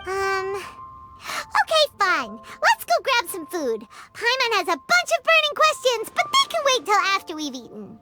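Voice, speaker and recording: Game sidekick: a tiny excitable fairy, squeaky and extremely high, very animated, with music behind. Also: female voice, moderate pace, full character voice. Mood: perky, eager. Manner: squeaky, speaks of herself in third person. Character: tiny childlike floating fairy companion, excitable, food-loving. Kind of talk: scripted game dialogue.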